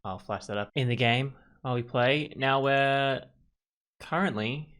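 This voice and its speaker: A man's voice: medium pitch, unhurried, a bit bright, clean tone. A man hosting a let's play, giving casual commentary on the game. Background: game music.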